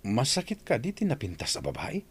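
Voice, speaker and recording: A man's voice: mid-range voice, huge pitch swings, a little fast, slightly rough, full character voice. A man playing a dutiful, questioning security guard in a conversational audio drama, with faint background noise. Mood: curious.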